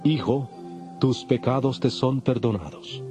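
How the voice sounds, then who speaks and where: A man playing a calm, authoritative holy teacher, solemn and measured, in a dramatized audio Bible. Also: medium pitch, close to an everyday voice. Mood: gentle, assured. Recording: dull lo-fi, faint music behind.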